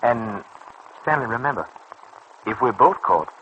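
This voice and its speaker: An actor in an old radio serial playing a suave, scheming crime boss who coaxes and patronises in precise, persuasive phrases. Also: male voice, medium pitch, expressive, measured pace, dull audio, close to an everyday voice. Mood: reassuring, insistent. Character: smooth, scheming male racket boss, coaxing and patronising. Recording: old radio recording with faint noise.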